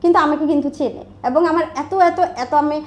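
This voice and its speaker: Bangladeshi woman, pretty high voice, chatty n emphatic interview guest, drops in english words. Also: a little fast, a little mellow. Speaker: interview guest, online business owner. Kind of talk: candid conversational interview.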